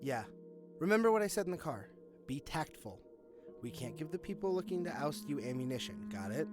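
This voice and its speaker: A man's voice: on the high side, very animated, a little fast, music in the background. A man acting a confident, cynical police detective with a sardonic, matter-of-fact manner in an audio drama. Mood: businesslike.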